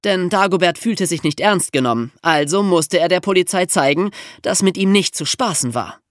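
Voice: mid-range voice, animated, normal speed, no room sound, studio quality. A podcast host telling true crime stories for young listeners in an amused, colloquial way.

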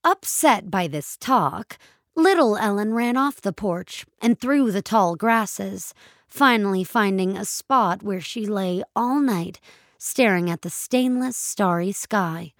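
A woman's voice: mid-range voice, expressive, takes it slowly, dry recording. A woman reading scripted dramatic fiction as a narrator, recorded close on a studio mic.

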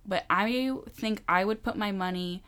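A young woman with a calm, soothing voice, chatting casually as a podcast co-host. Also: medium pitch, unhurried, clear, resonant tone. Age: young adult. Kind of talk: casual pop culture podcast chat.

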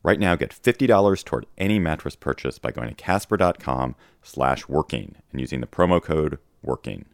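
Very deep, gravelly man. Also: expressive, brisk, hardly any pauses, dry recording, studio quality. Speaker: sponsor announcer. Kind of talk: scripted podcast ad read.

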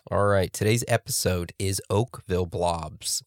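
A man's voice: low-pitched, a little slow, dry recording, clean studio sound. Congested man hosting a chatty podcast. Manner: laughing, chatty, talks over his co-host. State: congested from a cold. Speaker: podcast host. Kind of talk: casual podcast chat.

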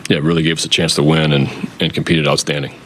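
A man, a baseball manager, answering at a post-game press conference in a halting, understated way. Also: a bass voice, flat delivery, a bit bright, slight background noise. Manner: halting, understated, hesitant phrasing.

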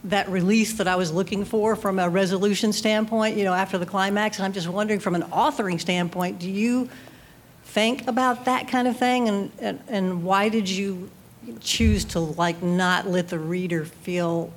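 A woman's voice: mid-range voice, fairly even intonation, normal speed. A woman in the audience at a live event, asking a casual, conversational question. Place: live book fair event. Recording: faint ambient event noise.

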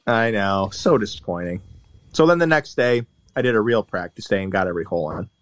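A man's voice: on the low side, animated, brisk, dry recording. A man chatting casually on a podcast, telling long, rambling, self-deprecating stories into a close mic. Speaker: golfer guest and regular of the podcast.

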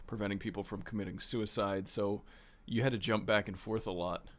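Low-voiced male host asking questions. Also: fairly even intonation, brisk. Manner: friendly questioner, laughs easily. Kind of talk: casual interview.

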